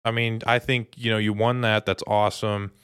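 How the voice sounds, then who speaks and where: Smooth, low-voiced man talking sports. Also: level tone, moderate pace, a bit bright, no room sound, studio quality. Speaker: sports podcast co-host. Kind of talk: casual sports chat.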